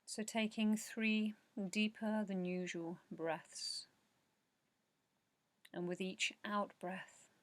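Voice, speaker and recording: A woman leading a guided meditation in a soft, slow, soothing way, with measured pauses and breathy phrase endings. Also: medium pitch, steady tone, no echo. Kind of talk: guided meditation narration, yoga nidra.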